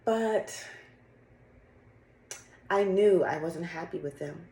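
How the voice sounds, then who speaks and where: A middle-aged woman with a slightly raspy, congested voice, chatting candidly and casually in a rambling personal vlog. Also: fairly low pitch, slow, dark tone, roomy sound. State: sick with congested cough. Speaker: fitness vlogger. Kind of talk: casual chat to camera, personal update.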